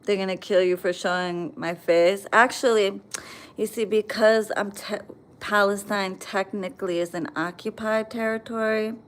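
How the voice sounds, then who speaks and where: A woman's voice: medium pitch, fairly even intonation, moderate pace. An American woman chatting bluntly on a livestream, reading viewer comments and answering them with plenty of swearing. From United States. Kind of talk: casual livestream chat.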